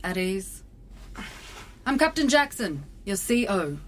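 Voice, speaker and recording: A woman's voice: medium pitch, big pitch swings, slow pace, slight background noise. A woman playing a stern, no-nonsense starship captain in a sci-fi comedy audio drama, clipped and commanding. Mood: commanding, curt.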